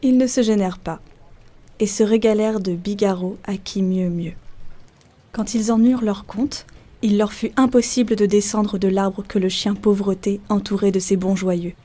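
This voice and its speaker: A woman's voice: medium pitch, fairly even intonation, moderate pace, warm tone. A woman narrating a literary folk tale as a scripted storyteller.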